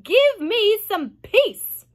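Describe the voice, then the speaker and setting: A woman voicing a vain, cruel aunt in a children's storybook reading, speaking slowly and loudly with gloating scorn. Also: high-pitched, lively intonation, full character voice. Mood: gloating, spiteful. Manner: slow, loud, gloating, cruel. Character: vain, mean, boastful aunt, grown woman.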